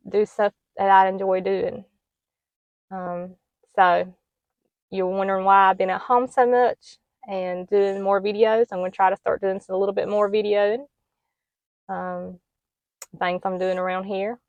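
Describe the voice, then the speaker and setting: A middle-aged woman who talks casually to the camera in a soft, plain and hesitant way, with many ums. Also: mid-range voice, vocal fry, no room sound, muffled recording. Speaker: homestead vlogger.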